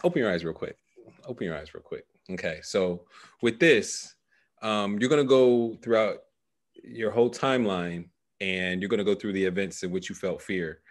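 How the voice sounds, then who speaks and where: A man in his thirties coaching a client over a headset, giving measured guided instructions. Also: fairly low pitch. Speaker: coach guiding a client through a meditation process. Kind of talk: guided meditation and coaching conversation. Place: small indoor room, video call. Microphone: headset microphone.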